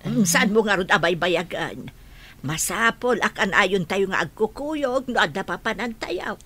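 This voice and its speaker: A woman in a radio drama playing a kindly elderly grandmother who speaks slowly and gently. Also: medium pitch, expressive, breathy edge. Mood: gentle.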